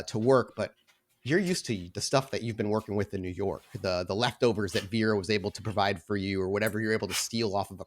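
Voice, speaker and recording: A man's voice: on the low side, a little hiss or hum. A man running a tabletop roleplaying game as game master, narrating scenes descriptively and conversationally.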